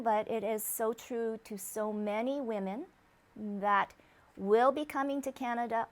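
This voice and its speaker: A woman hosting an interview, upbeat and welcoming. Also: mid-range voice. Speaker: host.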